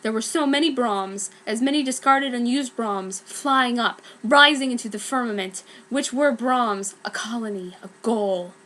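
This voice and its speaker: A woman's voice: on the high side, fairly bright tone, a little hiss or hum. A woman narrating a piece of fan fiction as a theatrical, emphatic dramatic reading.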